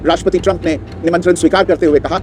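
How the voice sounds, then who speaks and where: A man reading a prepared official statement in a formal, deliberate, emphatic manner. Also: a little high, steady tone, darkish tone, slight background noise.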